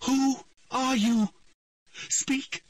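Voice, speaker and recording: A female sorceress, near the end of her strength, calls out a spell in an urgent, commanding voice, put on by a male narrator. The voice is raised and husky. It sounds a little distant in a dry room. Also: extremely high pitch, steady tone, very slow, pitched above the performer's own voice, heavily acted. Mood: desperate.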